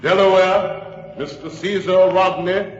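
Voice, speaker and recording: An actor playing a formal congressional clerk calling out a roll in a radio drama. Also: male voice, high voice, fairly even intonation, slow pace. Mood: official. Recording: dull old radio recording, echoing hall.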